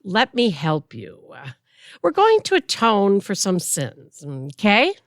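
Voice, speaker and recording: A woman's voice: mid-range voice, wildly swooping pitch, slow, dry recording, clean studio sound. An actress playing a breezy, flippant afterlife clerk who teases a newly dead woman, in a community audio drama. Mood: businesslike. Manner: chipper and glib, teasing, laughs at grim news.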